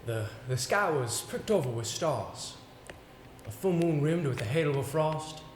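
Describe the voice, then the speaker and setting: A man plays an exasperated, pompous man of the theatre in a stage play. His fairly high voice is emphatic and sarcastic, every phrase theatrically placed. It rings in a roomy space with faint noise behind. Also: animated, measured pace. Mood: measured storytelling. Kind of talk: amateur stage play acting.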